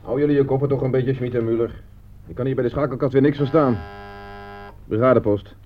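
Male actor as clipped, harried wartime switchboard soldier for radio drama, medium pitch, thin hissy old sound. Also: steady tone, brisk, close to an everyday voice. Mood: irritated, curt. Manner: curt, official. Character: harried, curt male army switchboard operator. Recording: tinny old radio recording with hum.

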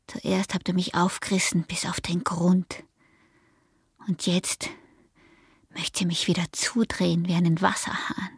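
An actress playing a scheming, defiant peasant wife in a radio drama, with an Austrian accent and a taunting, often hushed delivery. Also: female voice, on the low side, gravelly, husky, full character voice.

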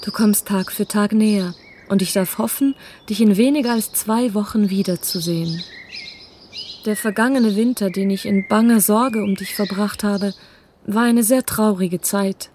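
A woman plays a gentle, loving young letter writer in a radio play. Her voice is mellow and mid-pitched, measured and affectionate, with faint birdsong behind. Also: a little hiss or hum, close to an everyday voice. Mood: wistful, affectionate. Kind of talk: radio drama, letter read aloud.

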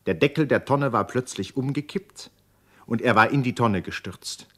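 A man's voice: mid-range voice, moderate pace, fairly bright tone, close to an everyday voice. A man narrating a German radio play as a grave literary storyteller. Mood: grave, suspenseful. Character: grave male storyteller of a dramatic novel.

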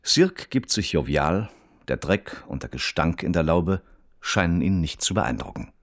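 An actor as the sober, detached narrator of a German radio crime play, reading like an official report in standard German. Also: male voice, low voice, expressive, unhurried, no room sound, clean studio sound.

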